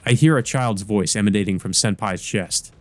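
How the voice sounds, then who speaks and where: A man's voice: lowish voice, moderate pace, no room sound. Man narrating a visual novel over music. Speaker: let's play narrator. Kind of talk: reading visual novel narration with casual commentary. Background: game music.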